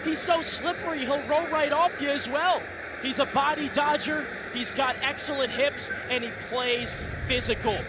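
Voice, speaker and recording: A man's voice: extremely high pitch, fairly even intonation, moderate pace. An American man giving live lacrosse analysis as color commentator, with colorful, emphatic phrasing. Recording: very loud game noise behind commentary.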